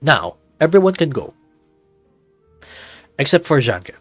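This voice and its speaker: A man's voice: fairly high pitch, big pitch swings, slow, dry recording, heavily acted. A jovial academy professor performed by a man, teasing and fond of lecturing. It is visual novel acting, dry and close over light music. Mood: instructive. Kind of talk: acted visual novel dialogue. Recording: background music under the voice.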